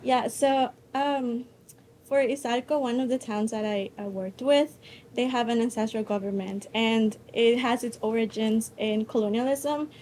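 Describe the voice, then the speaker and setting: A young woman from El Salvador answers questions after her talk, her voice sitting a little high. She speaks haltingly, with frequent ums and restarts, over a faint hum of background noise. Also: fairly even intonation, darkish tone. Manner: hesitant, many fillers and restarts. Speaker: student presenter.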